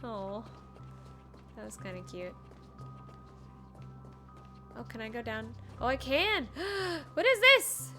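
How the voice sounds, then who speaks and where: A woman's voice: on the high side, very animated, slow pace, pauses often, bright, forceful tone. A woman chatting casually as she streams a game, playful and exclamatory, often talking to herself. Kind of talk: casual playthrough commentary. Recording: game music behind the voice. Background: video game music.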